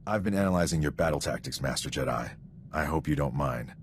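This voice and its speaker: A man's voice: a bass voice, lively intonation, brisk, a little hiss or hum. A man playing a dutiful military sergeant in a video game, formal, precise and deferential. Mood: earnest, respectful. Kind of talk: video game dialogue acting.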